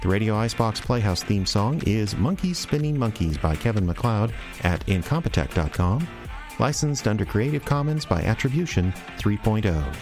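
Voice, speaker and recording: A man's voice: on the low side, big pitch swings, moderate pace, darkish tone, soft background music. A man with an American accent, a podcast announcer reading scripted show credits.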